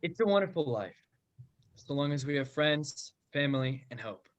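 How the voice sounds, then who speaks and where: A man's voice: fairly high pitch, animated, moderate pace. A man giving a scripted sign-off as narrator of a radio play. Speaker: narrator signing off for a student drama group. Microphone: video-call microphone.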